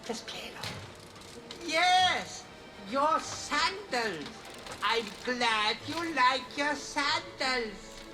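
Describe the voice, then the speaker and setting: A woman's voice: high voice, expressive, very slow, heavily acted. A woman playing a small, naively cheerful automaton in a comic video game. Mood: chipper. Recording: loud game music underneath.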